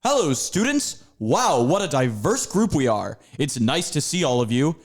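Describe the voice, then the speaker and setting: A man's voice: fairly high pitch, sing-song, normal speed. A man doing a theatrical panther professor. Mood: grand. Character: panther mascot, professorial and upbeat.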